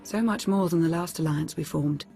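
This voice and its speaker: An actress playing a composed, earnest female advisor in a space saga game, with formal, measured speech. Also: low voice, vocal fry, mellow, soft background music. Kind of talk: scripted game cutscene acting.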